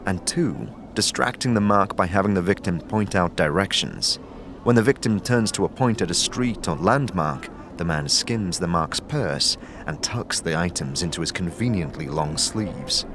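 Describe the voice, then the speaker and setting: A man's voice: sits low, animated, normal speed, background noise. A man narrating a fantasy tale in the second person as dramatic audio storytelling.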